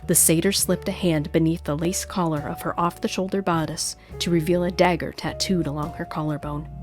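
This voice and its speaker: A woman's voice: sits low, fairly even intonation, brisk, music in the background. A woman hosting her own show, moving between scripted story narration and chatty talk as an author. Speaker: host and author.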